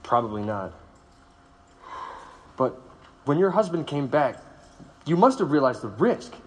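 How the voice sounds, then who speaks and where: An actor playing a cool, probing government interrogator. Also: male voice, a little high, very animated, unhurried. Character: cold male government interrogator. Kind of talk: audio drama acting.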